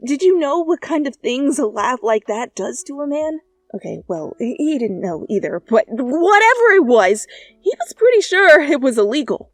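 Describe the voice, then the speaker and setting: A woman narrating a second-person fan fiction story in a playful, conversational way, with animated asides. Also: sits high, normal speed, no room sound. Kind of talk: expressive second-person story narration, ASMR fanfic reading.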